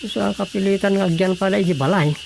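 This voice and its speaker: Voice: mid-range voice, steady tone, fairly quick, gravelly, a little mellow, a little hiss or hum, natural voice. An actor playing a weary, worried villager in a comedy radio drama, hesitant and sighing between phrases. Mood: puzzled.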